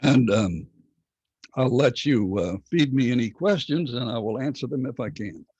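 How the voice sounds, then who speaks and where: Hoarse older man lecturing online. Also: mid-range voice, expressive, darkish tone, no echo. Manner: measured, halting with many fillers. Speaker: teacher. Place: home study with bookshelves. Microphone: laptop webcam video call. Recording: dull lo-fi video call audio.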